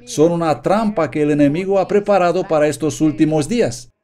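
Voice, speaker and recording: An earnest male preacher delivers a sermon with steady conviction. His voice sits a little high yet stays soft and mellow. He reads quoted passages aloud with careful emphasis. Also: brisk. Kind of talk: sermon read from notes, Spanish voice-over.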